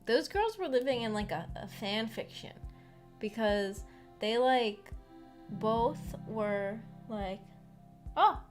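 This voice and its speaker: A woman with a fairly high voice hosts a casual live stream. She rambles and thinks aloud with a giggly manner as she talks to viewers. Soft music plays behind her. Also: animated, slow pace. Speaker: streamer hosting a visual novel book club. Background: background music.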